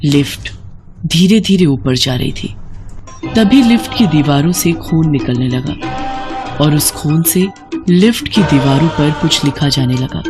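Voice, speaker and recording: A woman's voice: deep voice, expressive, unhurried. Woman narrating horror stories over music. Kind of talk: scripted horror story narration, dramatic.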